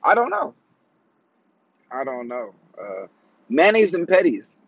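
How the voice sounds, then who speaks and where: A man in his thirties hosting a casual solo podcast, with a high, raspy, cracky voice, talking in slang, rambling and laughing at his own jokes. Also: big pitch swings, takes it slowly, no echo, muffled recording. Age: 30s. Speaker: podcast host.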